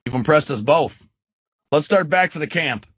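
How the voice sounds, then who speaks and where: A man's voice: fairly high pitch, lively intonation, normal speed, fairly bright tone, no room sound, lo-fi sound. A man playing a confident, encouraging firearms instructor among survivors in an amateur comic dub. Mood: encouraging, commanding.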